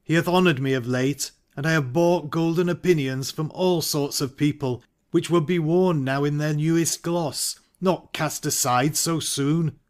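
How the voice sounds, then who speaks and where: A man playing a hesitant, ambitious nobleman in a Shakespearean audio drama, speaking verse in a measured way. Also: fairly high pitch, steady tone, close to an everyday voice. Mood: uneasy.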